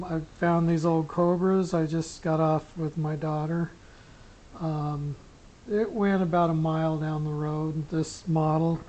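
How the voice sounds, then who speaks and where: A middle-aged hobbyist giving a casual, unscripted gear demonstration, rambling and often pausing mid-sentence. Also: medium pitch, a little mellow, lo-fi sound. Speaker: amateur radio hobbyist giving a gear demo. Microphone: small camera pinned to t-shirt. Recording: noisy clip-on camera sound with clicks.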